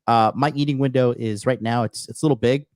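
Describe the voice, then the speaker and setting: A man's voice: mid-range voice, fairly even intonation, quick pace, darkish tone, dry recording, studio quality. A man in his thirties hosting a casual livestream chat, talking brashly and profanely, rambling with lots of fillers and restarts. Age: 30s. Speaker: podcast host.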